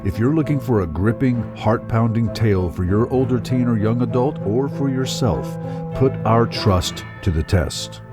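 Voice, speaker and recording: A man's voice: medium pitch, normal speed. A man reading a scripted promotional narration as an advertisement announcer. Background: music.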